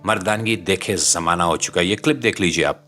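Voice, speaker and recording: Pakistani man, low sharp voice, indignant scripted political voice-over, dramatic and formal, faint music underneath. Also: a little fast. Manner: indignant, dramatic rhetorical delivery with formal literary vocabulary. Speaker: commentator, channel presenter.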